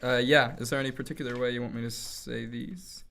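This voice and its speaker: A man's voice: medium pitch, steady tone, a little fast, vocal fry, bright, forceful tone. A man working as an amateur voice actor, talking casually and rehearsing scripted lines. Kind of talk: casual talk and line rehearsal.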